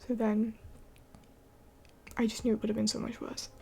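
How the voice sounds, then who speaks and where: Whispery young woman with vocal fry. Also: on the high side, level tone, normal speed, warm tone. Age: 20s. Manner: rambling, many fillers, often drops to a whisper. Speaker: livestream host. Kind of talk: late-night live Q&A chat.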